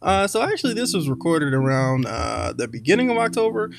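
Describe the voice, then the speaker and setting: A man's voice: fairly high pitch, very animated, brisk, creaky. A man speaking as a video editor, giving an informal, hesitant aside to viewers. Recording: music behind the voice.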